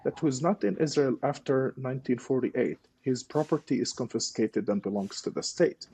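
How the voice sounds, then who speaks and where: A man's voice: mid-range voice, moderate pace, mellow, breathy edge, poor audio. A man from Gaza giving personal testimony as a podcast guest, explaining as he goes and putting questions to himself. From Gaza, Palestine. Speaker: guest, peace initiative leader.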